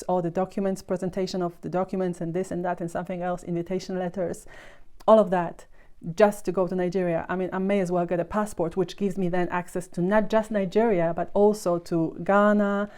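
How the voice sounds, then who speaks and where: A woman's voice: lowish voice, dark tone. A young woman from Poland, a vlogger telling a personal story to camera in a conversational way, full of "you know" asides.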